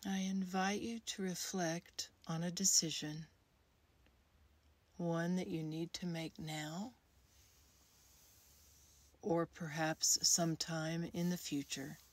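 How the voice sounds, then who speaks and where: A woman guides a meditation at a very slow, soothing pace. Her voice is slightly low, soft and airy, with gentle pauses between short phrases. The sound is close and dry. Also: flat delivery, slightly rough, dry recording. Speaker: guided meditation leader, creativity coach.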